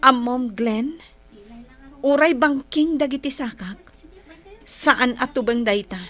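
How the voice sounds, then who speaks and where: High-voiced, plaintive schoolgirl. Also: slow, fairly bright tone. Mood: earnest, hurt. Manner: plaintive, earnest. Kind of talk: radio drama acting.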